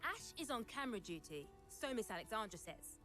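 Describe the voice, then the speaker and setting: A woman's voice: medium pitch, very animated, a little fast, over music, lightly acted. A woman playing a cheerful, eager young student with perky delivery and nautical phrases. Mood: upbeat.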